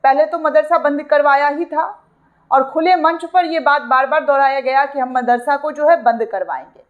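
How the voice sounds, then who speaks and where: High-pitched woman giving news commentary. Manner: emphatic, repeats phrases, poses rhetorical questions. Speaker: online news presenter. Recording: dull, lo-fi sound.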